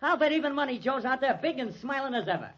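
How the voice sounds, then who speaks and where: Casting: kindly old fight-game man, husky, swooping delivery, folksy, vintage radio sound. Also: extremely high pitch, fast, heavily acted. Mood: reassuring. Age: older. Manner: folksy, hesitant, animated. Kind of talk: old-time radio drama acting. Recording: muffled old radio recording.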